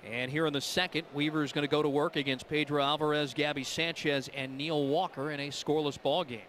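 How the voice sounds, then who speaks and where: An American man calls a ballgame as a radio play-by-play announcer. His voice sits on the high side and climbs excitedly on big plays. Clear outdoor ballpark noise runs behind him. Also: fairly quick. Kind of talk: live baseball radio commentary.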